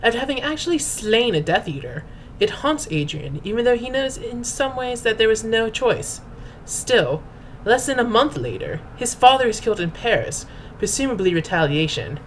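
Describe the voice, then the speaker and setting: A woman's voice: medium pitch, poor audio. A woman delivers a dramatic reading with mock grandeur. Her phrasing is formal and pompous, in a mid-range voice. A faint noise sits under the home recording. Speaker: host and reader. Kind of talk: theatrical dramatic reading of fan fiction.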